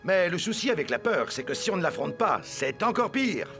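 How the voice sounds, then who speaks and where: An actor playing a boastful daredevil cartoon weatherman, gravelly and dramatic, with music behind. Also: high voice, sing-song, fairly quick, heavily acted. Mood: confiding. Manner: showy, dramatic, self-assured. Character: daredevil TV weatherman, boastful showy male legend. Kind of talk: animated cartoon dub acting.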